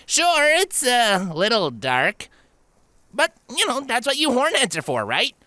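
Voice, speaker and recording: A man's voice: very high voice, wildly swooping pitch, unhurried, sharp tone, no echo, pitched above the performer's own voice, exaggerated voice. A man voicing a brash, cocky tomboy pegasus filly, breezy and dismissive, for a storybook reading.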